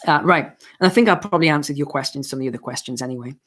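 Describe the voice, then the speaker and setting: Male host, hoarse, live Q&A stream, chatty explainer. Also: a little high, very fast, a bit bright. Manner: explanatory, chatty, with restarts and asides. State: hoarse, losing his voice. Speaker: finance channel host. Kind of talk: live-stream Q&A, answering viewer questions.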